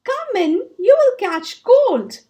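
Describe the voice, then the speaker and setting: A woman's voice: extremely high pitch, big pitch swings, slow pace, dark tone, voice pushed up, full character voice. A woman voicing a bossy, concerned female squirrel in a storybook, calling out and exclaiming. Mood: scolding.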